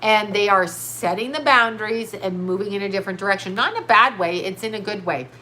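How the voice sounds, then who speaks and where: A woman's voice: mid-range voice, a little fast, pushed and bright, a little hiss or hum, poor audio. A middle-aged woman with a stuffy nose, chatting bluntly and doing a tarot reading for live viewers. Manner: blunt, swears, laughs often, scattered. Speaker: tarot reader answering live chat. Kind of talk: casual live-stream chat and card reading.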